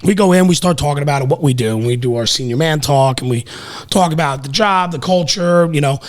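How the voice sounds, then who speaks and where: A man's voice: on the high side, fast. A middle-aged man talking casual shop on a podcast, emphatic and salty, often repeating himself for weight. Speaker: podcast host and firefighter. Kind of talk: casual, animated shop talk. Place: small podcast room indoors at a table. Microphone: podcast microphone on a stand.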